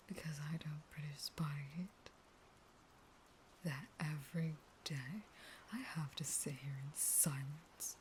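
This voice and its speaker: Casting: actress as a clingy, unstable female vampire in a cage, low and slow, whispered pleading, intimate roleplay audio. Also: warm tone, slight background noise. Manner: breathy, whispery, pleading, dramatic.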